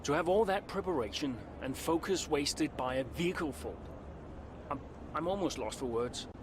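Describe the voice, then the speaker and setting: An actor playing a proud, guarded veteran racing driver in a video game, terse and defensive in manner. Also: male voice, fairly high pitch, animated, normal speed, noisy background, lightly acted. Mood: angry. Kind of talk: dramatic video game cutscene acting.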